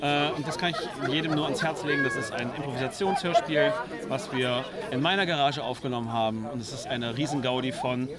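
Male interviewee, medium pitch, casual and somewhat halting, busy crowd in background. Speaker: interviewed author and podcaster. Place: busy audio drama convention. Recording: busy convention crowd behind voice.

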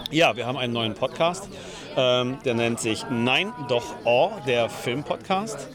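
Male interviewee, medium pitch, casual and somewhat halting, busy crowd in background. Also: expressive. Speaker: interviewed author and podcaster. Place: busy audio drama convention. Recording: busy convention crowd behind voice.

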